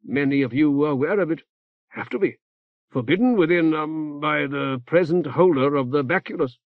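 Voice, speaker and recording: A fussy elderly cleric rambles in clipped, halting phrases, forever stopping on "eh" and "uh", voiced by a male narrator. His voice sits well above the reader's own. The recording is dry and slightly muffled. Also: fairly high pitch, no room sound, voice pushed up, natural voice. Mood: ponderous.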